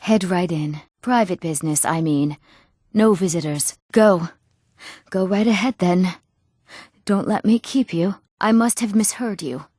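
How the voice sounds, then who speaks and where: An actress voicing young townswomen and nobles in a fantasy video game, with earnest, clearly spoken scripted lines. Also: female voice, fairly low pitch, unhurried, mellow, dry recording, clean studio sound. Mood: polite, brisk. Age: young adult.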